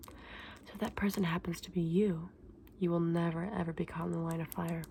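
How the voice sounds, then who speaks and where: A gentle, scholarly dragon egg saleswoman, played by a woman murmurs in a low, breathy near-whisper. She explains her wares earnestly and a little hesitantly in soft ASMR fantasy roleplay. The recording has audible background noise. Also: little pitch movement, a little fast. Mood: soft, fascinated.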